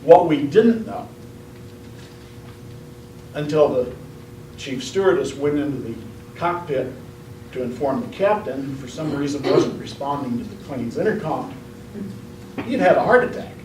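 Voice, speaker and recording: Guy doing a deadpan tall tale speech, kinda high voice, mic way off in an echoey room. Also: measured pace, long pauses. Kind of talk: comic storytelling speech to a live audience. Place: indoor room at a speech contest. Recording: roomy, distant mic, faint noise.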